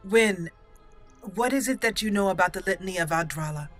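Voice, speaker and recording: A woman voicing a resolute young female elf mage in a game roleplay, speaking formally, deliberately and solemnly. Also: medium pitch, animated, faint music behind, lightly acted. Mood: inquisitive, formal. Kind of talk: improvised video game roleplay.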